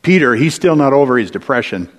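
A man preaching a sermon, retelling Bible stories in a deliberate, storytelling manner with dramatic pauses and rhetorical questions. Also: mid-range voice, expressive. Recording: echoey large hall with long reverb.

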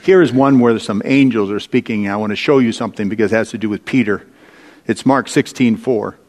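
A man preaching a sermon, retelling Bible stories in a deliberate, storytelling manner with dramatic pauses and rhetorical questions. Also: on the low side, a little mellow. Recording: echoey large hall with long reverb.

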